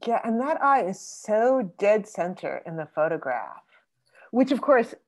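A woman moderating an online group discussion over a video call, speaking thoughtfully with many pauses and fillers. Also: mid-range voice, big pitch swings. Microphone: computer mic on a video call.

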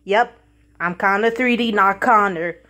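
A woman voicing a calm, unbothered man toy in a homemade skit, speaking flat and dry. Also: medium pitch, unhurried. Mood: deadpan. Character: calm low-voiced male YouTuber toy.

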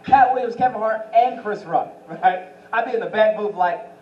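High-voiced young man doing club stand-up. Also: moderate pace, dull audio. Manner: does impressions of famous voices. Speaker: stand-up comedian. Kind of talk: stand-up set at an open mic. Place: small comedy club stage. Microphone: handheld mic. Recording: roomy club audio from a far camera. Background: audience laughter.

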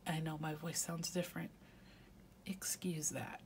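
Congested woman softly chatting while planning. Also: low-pitched, level tone, background noise. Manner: soft, often half-whispered, unhurried. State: congested with a head cold. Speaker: planner vlogger. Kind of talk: casual chat while planning.

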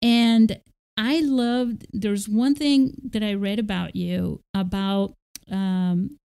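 A woman's voice: medium pitch, steady tone, takes it slowly, vocal fry, mellow, smooth. A woman hosting a podcast interview, enthusiastic and often restarting her sentences. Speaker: podcast host. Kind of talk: casual interview chat.

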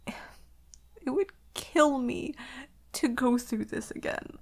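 An anxious werewolf girlfriend, acted by a woman, speaks very slowly in a fairly high, creaky voice. She is vulnerable and hesitant, stammering softly and dropping into whispers in an intimate ASMR roleplay. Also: heavily acted. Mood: scared, pleading. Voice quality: soft, breathy whispering.

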